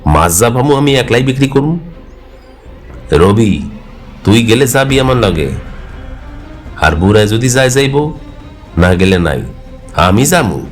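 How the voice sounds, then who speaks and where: A man's voice: mid-range voice, sing-song, unhurried, pauses often, soft background music. A man voicing a stubborn, hot-headed village fisherman in a cartoon, indignant and brash, with a rural Bangladeshi Bengali accent. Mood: defiant. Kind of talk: cartoon character dialogue.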